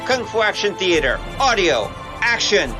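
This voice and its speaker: A man's voice: sits high, very animated, normal speed. A man announcing the closing credits of an audio drama. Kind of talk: scripted credits read. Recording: music bed.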